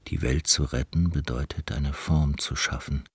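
A man reciting philosophical journal entries in a radio piece as a grave lecturer, solemn and measured. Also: rumbling low, steady tone, slightly rough, no room sound, exaggerated voice. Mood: solemn, contemplative. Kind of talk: recited reading of theoretical texts, radio play.

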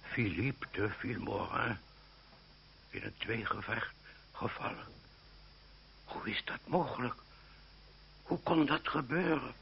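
A man's voice: mid-range voice, lively intonation, slow pace, gravelly, pauses often. A man acting in an old radio play as a blustering older uncle, easily indignant, stammering when flustered. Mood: puzzled. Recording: muffled, slightly noisy old radio recording.

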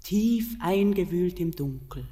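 In a long, echoing reverb, a mysterious queen of the underworld. She is commanding yet tender, declaiming slowly and solemnly in a hushed, classical radio play style. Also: lowish voice, lively intonation. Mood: tender, insistent. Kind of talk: classical verse radio drama acting. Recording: long reverberant hall echo.

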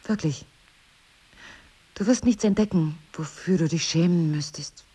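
Middle-aged wife, breathy husky voice, guarded, tender, quietly teasing, measured radio play dialogue. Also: female voice, on the low side. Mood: reassuring. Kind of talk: intimate audio drama dialogue.

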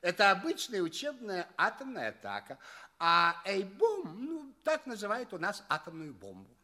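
High-voiced, breezy alien orderly. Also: male voice, wildly swooping pitch, moderate pace, sharp tone, full character voice. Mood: matter-of-fact. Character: breezy, matter-of-fact alien hospital orderly. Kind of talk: theatrical audio play dialogue.

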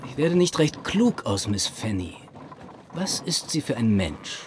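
Conceited young gentleman with animated delivery. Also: mid-range voice, a little slow, slight background noise. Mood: musing, self-assured. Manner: self-assured, theatrical, playful phrasing. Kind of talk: period literary radio drama.

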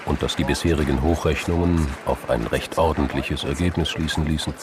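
A man's voice: rumbling low, steady tone, a little fast. A man narrating a crime drama in scripted, literary prose. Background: music.

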